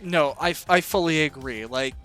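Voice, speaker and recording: Bright-voiced man hosting a casual interview. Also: fairly high pitch, normal speed, soft background music. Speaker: interview host and author.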